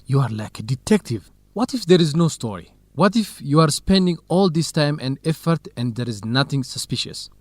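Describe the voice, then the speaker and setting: Earnest young male researcher, expressive pitch. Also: moderate pace, few pauses, no room sound. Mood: earnest, doubtful. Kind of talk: scripted educational radio drama dialogue.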